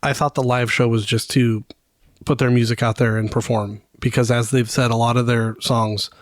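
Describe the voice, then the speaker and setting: Male co-host for a fan podcast. Medium pitch, conversational and speculative, thoughts left hanging, very dry recording. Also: no room sound. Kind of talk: casual fan podcast chat.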